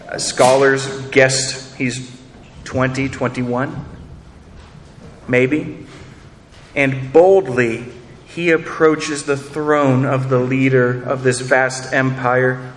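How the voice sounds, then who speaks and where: Earnest pastor preaching in an echoing hall. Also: male voice, medium pitch, level tone, slow pace, pauses often, a bit bright, a little hiss or hum. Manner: earnest, rhetorical questions, repeats words. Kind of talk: sermon.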